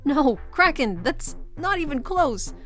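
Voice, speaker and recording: Exasperated high-pitched female space traveler played by an actress. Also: sing-song, takes it slowly, fairly bright tone, faint music behind, exaggerated voice. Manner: exasperated, sarcastic. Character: irritable, worried, sardonic female space crew member. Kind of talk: audio drama acting.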